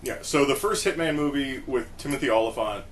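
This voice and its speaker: A man of medium pitch chats casually as a podcast co-host. He speaks in a halting, think-aloud way, and there is faint noise in the background. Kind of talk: casual chat about games and films.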